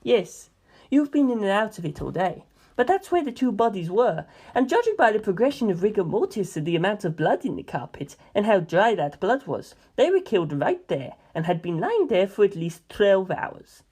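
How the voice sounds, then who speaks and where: A woman voicing a composed, dryly evasive male mafia member in a measured, matter-of-fact way for a story reading. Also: medium pitch, sing-song, darkish tone, husky.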